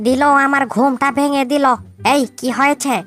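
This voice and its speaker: A quick-tempered cartoon monkey wife, acted by a woman, very high in pitch. She is bossy and scolding, with faint music behind her. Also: little pitch movement, natural voice. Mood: angry, defiant. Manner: scolding, exclamatory. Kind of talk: cartoon voice acting. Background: background music.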